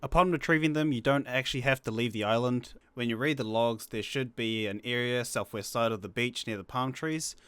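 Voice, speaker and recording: A man with a medium-pitched voice walks players through a game guide. His delivery is casual and breezy, with slangy instructions, recorded very dry with no room sound. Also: a little fast, few pauses, fairly bright tone, smooth, no echo. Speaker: guest co-narrator of a gaming guide. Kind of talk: casual video game tutorial narration.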